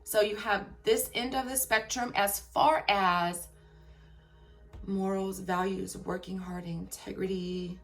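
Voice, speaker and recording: A woman telling a personal story to her viewers. She speaks frankly and emphatically and keeps checking in with "okay?". Her voice is turning raspy after a long workday. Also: mid-range voice, fairly even intonation, slow, faint music behind.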